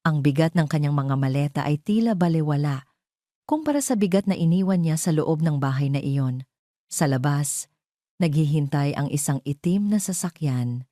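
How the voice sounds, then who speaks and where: Low, mellow woman narrating a drama. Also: lively intonation, normal speed, no echo, studio quality. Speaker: story narrator. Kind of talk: scripted dramatic romance narration.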